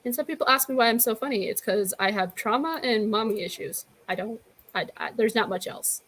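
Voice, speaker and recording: A woman's voice: fairly high pitch, fairly quick, fairly bright tone, a little hiss or hum. A woman in her early twenties hosting a casual group chat over a video call, candid and self-deprecating. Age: 20s. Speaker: channel host.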